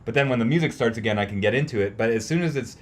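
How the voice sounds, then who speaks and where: A male podcast co-host with a middling pitch, talking casually. His delivery is emphatic and opinionated, full of slang and swearing, like an informal rant among friends. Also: rapid-fire. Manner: profane, emphatic slangy ranting. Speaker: teacher.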